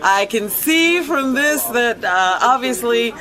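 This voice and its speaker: A man with a high-pitched voice chats casually, looking back on an experience. A crowd is audible behind him. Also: expressive, slow pace. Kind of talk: casual reminiscing chat.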